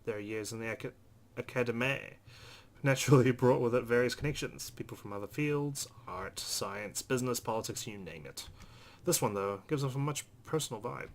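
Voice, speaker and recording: A man's voice: mid-range voice, normal speed. A man hosting a let's play, reading visual novel narration aloud expressively with casual asides. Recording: faint background noise.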